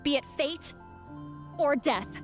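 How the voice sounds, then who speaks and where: A woman's voice: high-pitched, expressive, takes it slowly, faint music behind. A woman voicing an anxious but determined young shaman in a video game, earnest and emphatic. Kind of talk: scripted video game voice acting.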